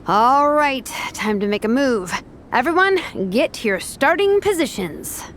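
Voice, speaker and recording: A woman voicing a practical, no-nonsense young team captain in a video game, briskly giving instructions. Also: high voice, very animated, slight background noise. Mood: brisk, commanding. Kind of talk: scripted game dialogue.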